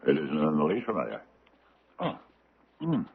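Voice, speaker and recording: A pompous, blustering judge in a radio mystery. The voice is low and swoops widely as he stammers theatrically. It comes through a muffled, noisy vintage recording. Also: wildly swooping pitch, moderate pace, gravelly, slightly rough, full character voice. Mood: jovial, dismissive. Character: pompous gluttonous older judge, secretly a deranged killer. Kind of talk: old-time radio drama acting.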